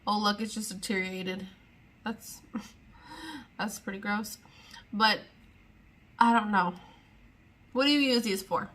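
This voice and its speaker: A young woman talks straight to the camera in a loose, rambling way, often trailing off and restarting. She sounds chatty and easily exasperated, with a mid-range voice of ordinary pitch. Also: animated, slow, pauses often, fairly bright tone. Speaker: beauty vlogger. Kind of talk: casual sit-down chat to camera.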